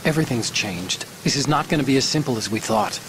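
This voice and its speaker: A man's voice: mid-range voice, expressive, fast, noisy background, lightly acted. An actor playing an earnest, worried young male tech support partner in a dramatic video game. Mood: serious, concerned. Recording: music and rain behind.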